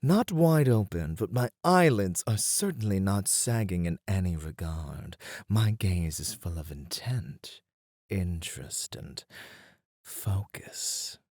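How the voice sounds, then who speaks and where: A performer playing a poised, playful etiquette tutor in a scripted ASMR roleplay, speaking with refined, deliberate phrasing. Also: deep voice, expressive, a little mellow, no echo, studio quality, heavily acted. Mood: intent, coaxing.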